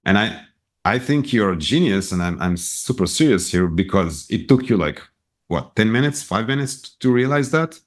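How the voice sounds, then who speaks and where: A middle-aged man with an Israeli accent speaks on the low side, telling stories in an interview. He talks in quick bursts, often restarting his sentences. His lively pitch swings up and down as he goes. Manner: animated storyteller, quick bursts, many restarts. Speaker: guest, retail business owner. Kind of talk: casual storytelling interview.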